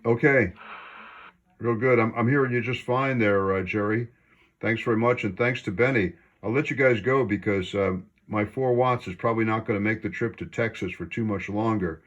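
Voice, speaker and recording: A man's voice: on the low side, moderate pace, muffled recording. A man talking casually as an amateur radio operator during an on-air contact.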